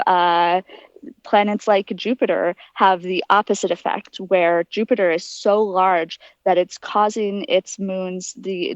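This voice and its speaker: A woman's voice: fairly low pitch, no echo, lo-fi sound. A young adult woman giving conversational, explanatory answers as a guest in an interview. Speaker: interview guest, aspiring astronaut.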